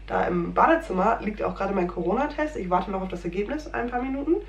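Female, 30s, casual home vlogger, slightly unwell, rambling and filler-heavy; roomy kitchen sound, soft background hum. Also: mid-range voice, expressive, quick pace, vocal fry. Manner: chatty, rambling, many fillers. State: a little under the weather. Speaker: food vlogger. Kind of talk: casual chat. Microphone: fixed camera at desk distance.

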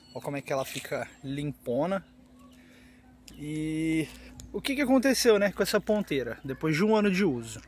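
A man's voice: a little high, a bit bright, a little hiss or hum. A Brazilian man talking casually and with lots of slang, as a motorcycle vlogger filming outdoors. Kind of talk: casual vlog talk to camera, slangy. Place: outdoors on a quiet roadside by trees.

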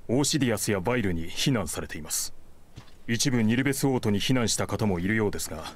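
Polite officer, low voice. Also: male voice, expressive, fast. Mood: reporting. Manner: formal, polite reporting. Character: polite male officer giving a report.

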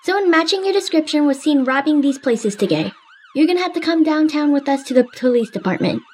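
A woman's voice: sits high, animated, fast, a little mellow, slight background noise. A woman playing a matter-of-fact female police officer in an improvised video game roleplay. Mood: stern, matter-of-fact. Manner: matter-of-fact, procedural questioning. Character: businesslike female police officer taking robbery reports.

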